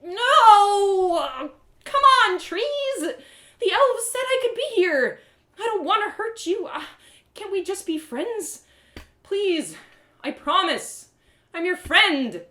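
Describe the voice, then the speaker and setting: A woman voicing an excitable, earnest young girl adventurer in a storybook, breathless and exclaiming. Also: extremely high pitch, slow, pitched above the performer's own voice, heavily acted. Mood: desperate pleading. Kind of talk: dramatic first-person storybook acting. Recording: roomy echo.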